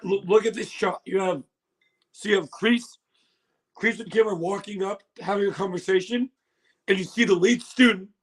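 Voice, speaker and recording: A hoarse man hosting a casual livestream reaction, excitable and laughing, swearing now and then, recorded on a phone. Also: high-pitched, low-quality recording. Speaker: livestream host.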